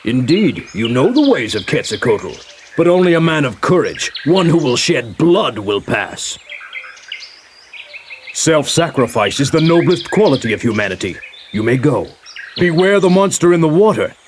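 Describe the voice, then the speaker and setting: An actor playing a stern, solemn Aztec warrior priest in a video game, speaking in formal declamation. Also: male voice, a little high, sing-song, moderate pace, slight background noise. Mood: solemn, commanding.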